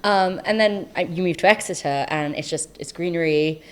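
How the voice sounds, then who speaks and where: A woman's voice: lowish voice, moderate pace, clean tone. A young woman with a London accent, chatting enthusiastically and reflectively as an interview guest. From South London, England. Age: young adult. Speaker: podcast guest, medical student. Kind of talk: casual interview conversation.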